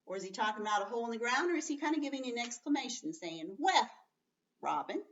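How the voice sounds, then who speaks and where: A woman's voice: a little high, animated, racing, fairly bright tone, a little hiss or hum. A woman schoolteacher giving a read-aloud lesson to children, explaining things in simple words and commenting as she goes. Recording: dull sound, distant from the mic.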